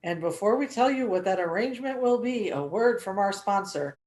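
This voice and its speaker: An actress playing a radio show announcer, presenting in a scripted, theatrical radio style. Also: female voice, mid-range voice, animated, brisk, a little mellow, natural voice. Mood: composed.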